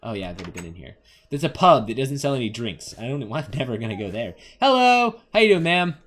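Young male gaming host: playful, rambling Let's Play commentary with a lively, swinging pitch. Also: fast talker. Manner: playful, rambling, talks to game characters and himself. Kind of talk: casual Let's Play commentary on an adventure game.

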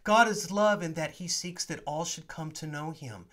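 A man's voice: on the high side, moderate pace, fairly bright tone. A man in his forties, a priest giving an earnest, emphatic sermon-style teaching to a webcam in his home study. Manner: earnest, emphatic preaching with rising stresses.

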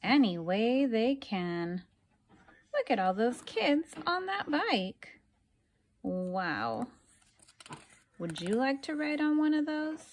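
A woman reading a children's picture book aloud like a teacher, deliberately, pausing between phrases and putting questions to her young listeners. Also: on the high side, very animated, slight background noise.

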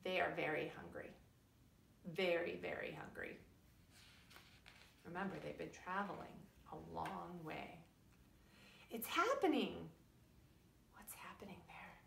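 A middle-aged woman, a preschool teacher, explaining and reading aloud to young children in a deliberate, emphatic, teacherly way. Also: on the low side, lively intonation, creaky, a little hiss or hum. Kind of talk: children's science lesson and read-aloud story. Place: indoors in a wood-panelled room at home or school. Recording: echoey room.

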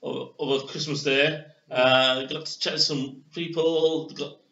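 A man's voice: a little high, fairly even intonation, measured pace, gravelly, sharp tone. A young man from England hosting a casual beer tasting review at home, hesitant and full of fillers, recorded on a webcam in a small room. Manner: hesitant, many fillers and restarts. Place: small bedroom with posters, indoors. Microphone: webcam on desk. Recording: roomy, dull lo-fi webcam audio.

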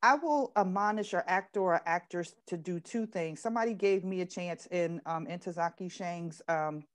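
A woman's voice: lowish voice, moderate pace. Middle aged american lady on a video call interview, blunt and direct, conversational. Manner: frank, direct. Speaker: interview guest, director and playwright. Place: indoor room, on a video call.

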